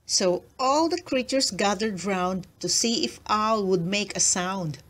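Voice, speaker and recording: A woman narrating a children's picture book aloud in an expressive storytelling manner. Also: medium pitch, measured pace.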